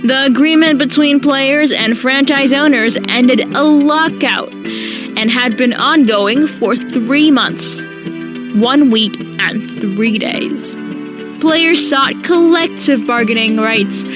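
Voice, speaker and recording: High-voiced morning co-host. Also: female voice, slow pace, muffled recording, over music. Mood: informative. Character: pleasant female breakfast television co-host. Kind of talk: scripted morning TV presenting.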